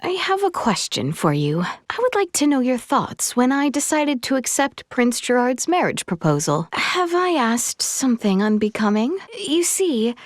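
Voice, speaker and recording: Lilting, high-voiced earnest young princess. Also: female voice, dry recording, clean studio sound. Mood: hesitant, questioning. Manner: formal, archaic courtly phrasing, measured and polite. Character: earnest young princess, refined, courteous, gently curious. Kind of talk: video game voice acting, scripted dialogue.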